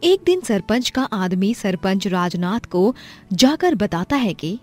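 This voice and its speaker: A woman's voice: medium pitch, big pitch swings, slow, darkish tone, faint music behind. A woman reading a scripted story as its narrator.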